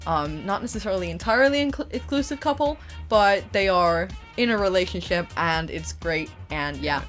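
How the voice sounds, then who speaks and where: A woman's voice: lowish voice, animated, normal speed, a bit bright. Enthusiastic woman chatting over music. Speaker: co-creator of a fan parody series, answering viewer questions. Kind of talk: casual chatty Q&A. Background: instrumental music.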